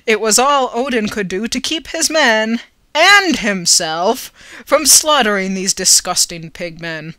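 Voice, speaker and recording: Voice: medium pitch, pushed and bright, no room sound. An amateur reader narrating a fantasy story in a theatrical, tongue-in-cheek way.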